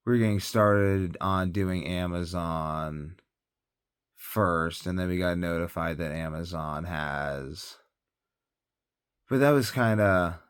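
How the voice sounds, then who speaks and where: Deep-voiced, tired man rambling casually. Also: takes it slowly, smooth, dry recording, studio quality. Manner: hesitant, thinking aloud, often trailing off. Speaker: health vlogger.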